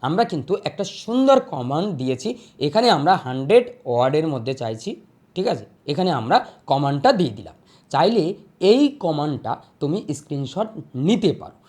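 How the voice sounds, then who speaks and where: Animated tutorial host with swooping pitch. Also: a little fast. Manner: repeats phrases, checks in with the listener. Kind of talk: step-by-step tutorial voice-over.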